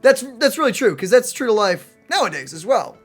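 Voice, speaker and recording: Expressive woman doing casual let's play commentary. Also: on the high side, fairly quick. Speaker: host of a gaming let's play channel. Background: game music.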